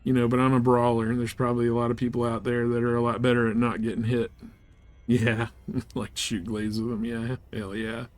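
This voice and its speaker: Man, game streamer, casual livestream chat, laid-back, informal, average pitch. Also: little pitch movement, a little fast. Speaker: live streamer.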